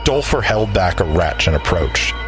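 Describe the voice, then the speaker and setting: A man's voice: low-pitched, big pitch swings, normal speed, music in the background. A man narrating an audio drama as a storyteller, reading scripted prose. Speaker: narrator and player.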